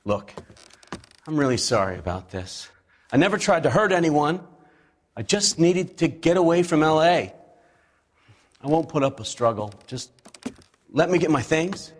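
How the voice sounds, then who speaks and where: A man's voice: fairly high pitch, sing-song, moderate pace, long pauses, slight background noise. Meek, apologetic man in reverb. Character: meek, apologetic male runaway husband. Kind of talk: dramatic video game acting.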